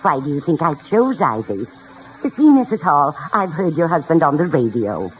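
A spry, witty elderly lady in a vintage radio comedy. She is wry and forthright, with highly animated intonation. The old broadcast sounds muffled, with faint noise. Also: normal speed. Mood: wry, gracious. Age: older. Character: spry, witty elderly woman college freshman. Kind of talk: 1940s-50s radio comedy acting.

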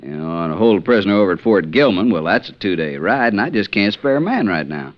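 A dull vintage radio recording carries a middle-aged Texas Ranger captain. His voice sits low and his talk is folksy and plain. He sounds like a harried frontier officer in an old western serial. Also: big pitch swings. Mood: friendly, coaxing. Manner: folksy, drawn-out, plain-spoken. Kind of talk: 1950s radio western acting.

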